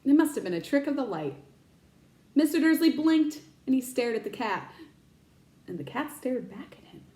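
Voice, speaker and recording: High, lively woman reading a storybook aloud. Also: reverberant. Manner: expressive, pauses to explain words to kids. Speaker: teacher reading aloud to children. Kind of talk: storybook read-aloud with questions to listeners.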